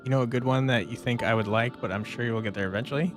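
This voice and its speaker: A man's voice: mid-range voice, very fast. A man streaming a game, giving casual live commentary and chatting informally with his viewers. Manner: informal, reads out chat and answers it. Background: game music.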